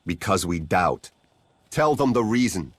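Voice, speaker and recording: A weary refugee from a video game, pleading earnestly. His voice is low and husky, heard dry and close. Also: very animated, measured pace, a bit bright, dry recording, full character voice. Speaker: refugee leader. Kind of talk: video game cutscene acting.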